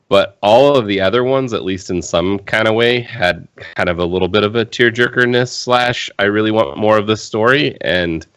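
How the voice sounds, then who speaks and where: Man, very low voice, dry close mic, frank and opinionated, casual podcast chat. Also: fairly bright tone, no room sound. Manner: opinionated, frank, lots of "I mean". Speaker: podcast co-host.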